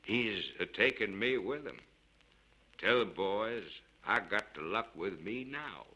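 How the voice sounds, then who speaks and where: A man's voice: fairly low pitch, animated, bright, forceful tone, dull audio, exaggerated voice. Man acting a dying frontiersman, feeble and awed. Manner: feeble, halting, breathed-out exclamations.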